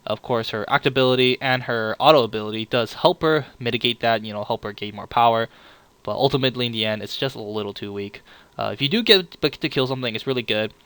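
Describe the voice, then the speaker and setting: A man hosting a casual card game review, a little sick, talking in a relaxed and apologetic way with many filler "uh"s. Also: medium pitch, quick pace, clean tone, no room sound. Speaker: channel host reviewing card leaks.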